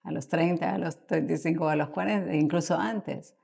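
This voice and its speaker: A middle-aged Argentine woman speaks in a low register with a gravelly fry. She talks as an expert guest in conversation, choosing her words thoughtfully and pausing partway through sentences. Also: a little mellow. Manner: thoughtful, halting, with mid-sentence pauses. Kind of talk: conversational podcast interview answers.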